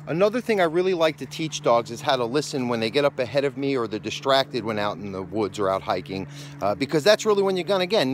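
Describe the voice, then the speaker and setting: A man's voice: mid-range voice, slight background noise. A man with an American accent, a dog trainer explaining obedience steps while walking his dog on a hiking trail and calling commands to it. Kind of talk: outdoor training demonstration.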